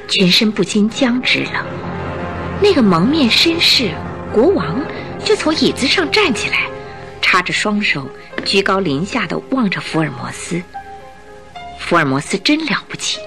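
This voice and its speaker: A woman narrating a radio drama in an expressive, scripted storytelling style. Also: medium pitch, normal speed, over music.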